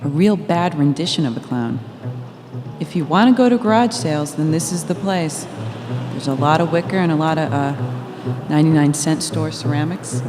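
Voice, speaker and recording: A woman's voice: deep voice, normal speed, lightly acted. A woman reading a blunt, teasing girlfriend in a scripted audio story, casual and matter-of-fact. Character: Freya, blunt, teasing, observant girlfriend in passenger seat. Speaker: reader of the girlfriend's part in a novel reading. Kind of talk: scripted audio-story dialogue over music. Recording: long reverberation under music. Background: music.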